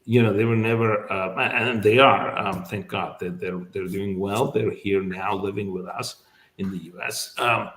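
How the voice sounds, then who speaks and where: A man's voice: lowish voice, fairly even intonation, normal speed, a bit bright, roomy sound. A middle-aged man from Azerbaijan, native speaker of Azerbaijani, telling his story in a casual interview, thoughtful, with many fillers and restarts. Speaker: immigration lawyer, guest.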